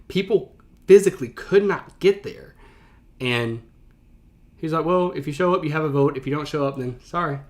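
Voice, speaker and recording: A man's voice: on the high side, moderate pace, darkish tone. A man speaking as a teacher in casual reaction commentary, explaining things conversationally and stressing key words.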